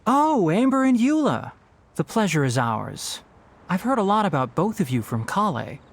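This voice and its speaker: Composed, low-key alchemist. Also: female voice, big pitch swings, moderate pace. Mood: calm, polite. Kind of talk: video game character voice acting.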